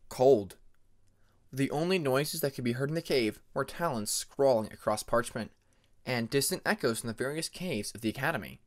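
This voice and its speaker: A man reading a story aloud as narrator of an amateur audiobook. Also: medium pitch.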